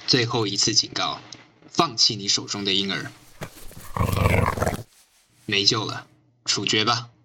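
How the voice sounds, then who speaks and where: A man's voice: fairly low pitch, steady tone, measured pace, pauses often, background noise, lightly acted. A man playing a stern, coldly logical riot-squad captain in an amateur radio drama, speaking in curt commands.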